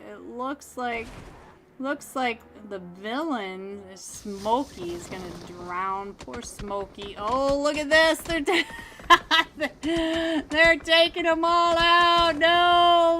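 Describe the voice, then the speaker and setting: A woman calls a mobile battle royale match on her own live stream, chatting casually and laughing often between calls. Her voice sits a little high and she takes her time. A faint hum of room ambience sits under the home mic. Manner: chatty, giggly, gets excited at big plays. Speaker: esports caster. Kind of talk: solo live game commentary.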